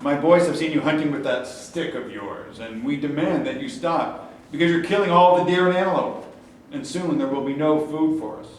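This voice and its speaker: A man's voice: fairly high pitch, moderate pace, close to an everyday voice. A man reading the part of a mocking, defiant caveman clan leader in a staged play reading, with a sarcastic manner. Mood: scornful. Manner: scornful, sarcastic. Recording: echoey room, mic far off.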